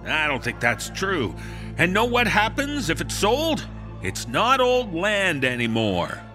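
Sharp, swooping small-town threatener. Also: male voice, high-pitched, wildly swooping pitch, slow, faint music behind, full character voice. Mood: smug threat. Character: menacing small-town authority man, blunt and smug. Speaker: actor in a horror audio drama. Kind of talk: audio drama dialogue.

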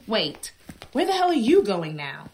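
A woman's voice: medium pitch, wildly swooping pitch, a little slow, a little mellow, slight background noise, rough recording. An actress playing a blunt, concerned woman in a radio horror drama. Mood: curt, worried. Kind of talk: old-time radio horror drama acting.

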